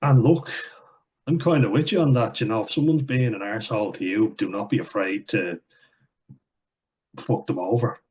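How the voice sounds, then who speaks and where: A man's voice: on the low side, normal speed, long pauses, a little mellow, breathy edge. A young man reading out viewer comments and answering them in blunt, sweary casual chat, with frequent fillers. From Ireland. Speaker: vlogger. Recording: dull lo-fi recording.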